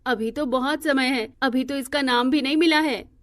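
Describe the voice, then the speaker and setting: A woman's voice: high voice, moderate pace, fairly bright tone. A woman playing a happy, grateful mother talking affectionately in a cartoon moral story. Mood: cheerful.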